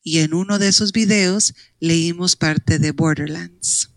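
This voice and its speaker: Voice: mid-range voice, unhurried, dry recording. A language teacher and interpreter trainer explaining classes in plain terms. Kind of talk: conversational interview answer.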